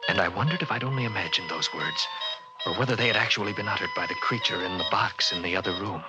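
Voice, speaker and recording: A man's voice: lowish voice, quick pace, poor audio. An actor playing a card-playing man who narrates his own eerie story, in 1940s radio style. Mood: uneasy narration. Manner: lively, jaunty, turns tense. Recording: old radio drama with loud music.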